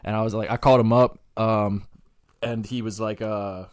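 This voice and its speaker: A man in his twenties chatting casually as a podcast guest, halting and circling back to rephrase as he goes. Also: on the low side, fairly even intonation, darkish tone, clean tone, dry recording, clean studio sound. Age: 20s. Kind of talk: casual chat and anecdotes.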